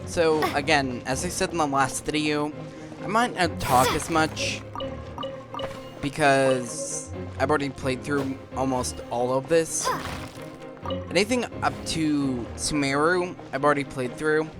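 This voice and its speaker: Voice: medium pitch, unhurried. A gaming video host giving casual, informal, explanatory commentary while playing. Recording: game music behind the voice. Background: video game music.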